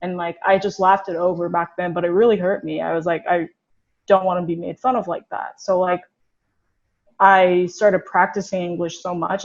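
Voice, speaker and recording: A woman's voice: lowish voice, muffled recording. A young woman from Korea, a guest in a casual conversation, talking reflectively with many fillers.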